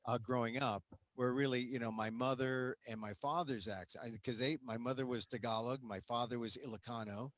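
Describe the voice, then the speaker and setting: A man hosting a conversational interview, animated and quick to interject, often laughing as he talks. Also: mid-range voice. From United States. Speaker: host.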